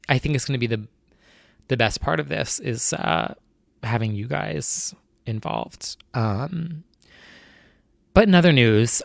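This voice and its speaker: A young man with a gravelly, creaky voice, chatting alone into a close mic about his nerves. He rambles and restarts with plenty of fillers, and the recording is dry and tight. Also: medium pitch, a bit bright, no room sound. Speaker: podcast host. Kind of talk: casual solo chat.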